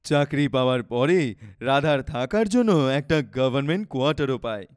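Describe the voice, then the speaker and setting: A man's voice: mid-range voice, animated, moderate pace, smooth, no room sound, studio quality. A man narrating a scripted children's moral story as a storyteller.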